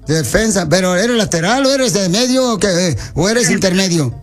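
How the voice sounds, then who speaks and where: A man's voice: high-pitched, expressive, moderate pace, faint music behind. A man playing a bumbling, earnest soccer recruiter on a prank call, stumbling through muddled questions with mock seriousness. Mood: mock serious.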